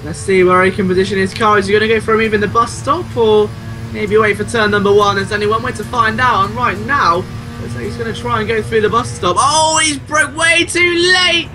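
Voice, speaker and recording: A man giving excitable, exclamatory live play-by-play commentary on a motor race. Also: high voice, brisk, over music. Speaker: sim racing race commentator. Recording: clear car engine noise behind. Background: racing car engines.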